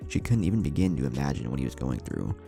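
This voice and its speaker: A man's voice: extremely low pitch, big pitch swings, fast, vocal fry. A man reading scripted fan fiction as a story narrator, with music playing underneath. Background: background music.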